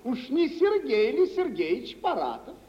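Very high-pitched, insecure jealous fiancé. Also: male voice, wildly swooping pitch, full character voice. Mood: jealous, probing. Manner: agitated, halting, repeats words when pressing. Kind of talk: 1950s Russian radio theatre acting. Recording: muffled, hissy mid-century radio recording.

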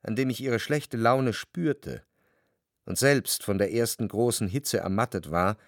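An actor playing an introspective, doubting gentleman who narrates his love in a literary radio drama. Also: medium pitch, a little slow, vocal fry, no room sound, clean studio sound. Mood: pained, confessional. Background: music.

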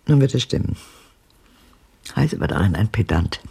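A haunted elderly woman in a radio play, with a very husky, gravelly voice. She is wistful and reflective, speaking in halting phrases as she looks back. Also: a bass voice, big pitch swings, dark tone, exaggerated voice. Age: older. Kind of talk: German radio drama acting.

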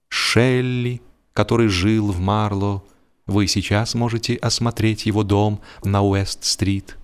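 A man reading a humorous literary story as an audiobook narrator, expressive and measured, with deliberate pauses. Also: medium pitch.

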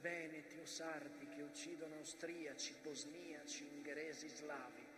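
An actor playing a war-weary, mournful witness of the First World War in an impassioned, rhetorical stage monologue. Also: male voice, fairly high pitch, fairly even intonation, moderate pace, very echoey, long reverb tail, close to an everyday voice. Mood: bitter, weary. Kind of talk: theatrical dramatic monologue.

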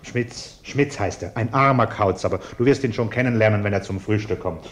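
An actor playing a pompous, self-righteous middle-aged householder in a German radio play, blustering and indignant. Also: male voice, fairly low pitch, normal speed, close to an everyday voice. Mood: self-righteous grumbling.

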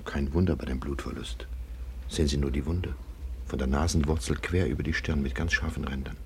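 An actor playing a serious, educated gentleman in a radio drama, formal and precise in speech. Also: a bass voice, fairly even intonation, fairly quick, vocal fry, slight background noise. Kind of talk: German radio drama acting.

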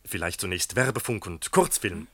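An actor playing a flirtatious, boastful theatrical charmer in a German radio play, full of flattery. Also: male voice, sits low, moderate pace, no echo.

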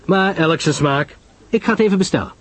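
A man playing an affable, modest English gentleman in an audio drama, with a light, breezy, sociable manner. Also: fairly high pitch, a little fast, pitched above the performer's own voice, close to an everyday voice. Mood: breezy, amiable.

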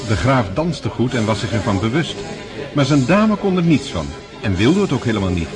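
A man's voice: mid-range voice, big pitch swings, moderate pace. A man narrating a radio play in scripted, dramatic storytelling style. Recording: music behind the voice.

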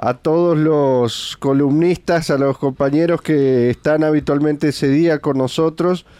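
A man's voice: on the high side, steady tone, a little slow, warm tone, clean tone, no room sound. A man hosting a radio interview, chatting in a conversational way with hesitant fillers. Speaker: radio host.

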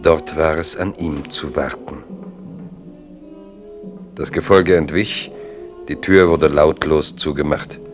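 A man narrating a radio play in a calm, even way. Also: rumbling low, slow, pauses often, over music. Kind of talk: scripted narration.

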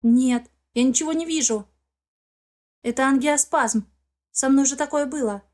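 Woman reader doing an emotional young heroine in an audiobook, agitated, high but mellow voice. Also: normal speed, natural voice. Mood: anxious.

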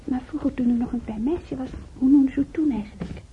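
An actress playing a young nurse in a radio play, brisk and a little tart but also confiding. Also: female voice, sits high, close to an everyday voice. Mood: soft confiding. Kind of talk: Dutch radio play acting. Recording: dull, noisy radio drama recording.

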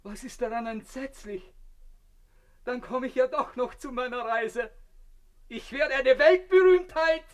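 A man's voice: very high-pitched, a little slow, long pauses. An actor in a radio play voicing a vain, blissfully self-satisfied man who lectures pompously from inside a crocodile. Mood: eager, vain. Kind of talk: theatrical radio play acting.

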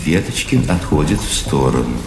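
A male narrator reads scripted stage directions with a very varied pitch. His voice sits in a reverberant hall over clear background noise. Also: very animated, normal speed. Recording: echoey, noisy theatre recording.

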